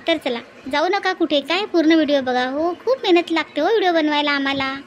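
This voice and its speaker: A woman's voice: high voice, expressive, fast talker, background noise. A woman chatting to viewers as a channel host, coaxing and repeating herself. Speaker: host of a comedy cartoon channel.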